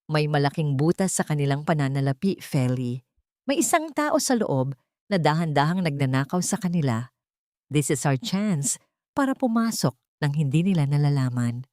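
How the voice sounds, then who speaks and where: Calm old lawyer guy, steady and reassuring, lowish dry voice, a woman reading him. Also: moderate pace, no echo. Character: elderly male lawyer, calm and loyal.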